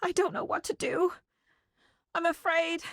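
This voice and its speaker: A woman's voice: very high voice, fairly even intonation, measured pace, a bit bright, no echo, clean studio sound. An actress playing a frightened, overwhelmed female agent in a video game, distressed and near tears. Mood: afraid, helpless. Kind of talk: emotional scripted game acting.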